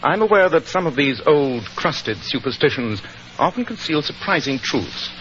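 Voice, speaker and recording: An actor playing a courteous, analytical English detective with a British accent, precise and formal, in 1940s radio style. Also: male voice, on the high side, very animated, moderate pace, a bit bright. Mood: courteous interest. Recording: dull, hissy 1940s radio recording.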